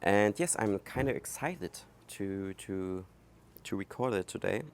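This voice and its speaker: A man from Germany with a German accent, hosting his own podcast in a casual, halting monologue recorded outdoors, thinking aloud with many fillers. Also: on the low side, expressive. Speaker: podcast host. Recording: faint outdoor background noise. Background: outdoor ambience.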